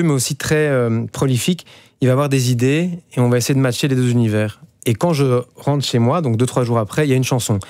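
A man in his thirties from Belgium speaks with a Belgian French accent in a radio interview. His voice is mellow and relaxed, and the sound is close and dry. He hesitates often, with fillers and restarts. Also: medium pitch, no room sound. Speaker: musician guest.

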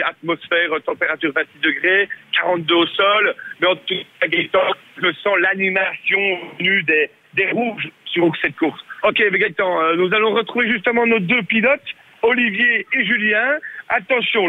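A man, a radio reporter speaking live in an excitable, playful, exclamatory way. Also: high-pitched, slightly rough, highs cut off. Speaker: field reporter on a radio show. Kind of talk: excited mock race commentary and live chat.

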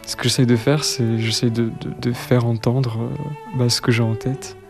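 A man in his twenties from Poland, a cellist answering interview questions in a second language, soft-spoken and hesitant with many fillers. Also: mid-range voice, fairly even intonation, moderate pace, creaky, music in the background. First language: Polish. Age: 20s.

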